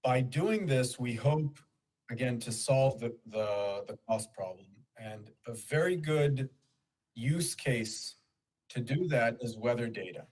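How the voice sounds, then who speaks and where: A man who grew up in Kenya giving a conference presentation in a measured, explanatory way, pausing for emphasis. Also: medium pitch, a little mellow, rough recording. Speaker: conference speaker for a nonprofit.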